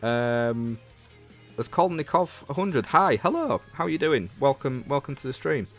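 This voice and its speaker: A man's voice: medium pitch, sing-song, unhurried, a bit bright, soft background music. A man from Leeds with a Northern English accent, chatting casually about games as a livestream host and talking to viewers. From Leeds, England.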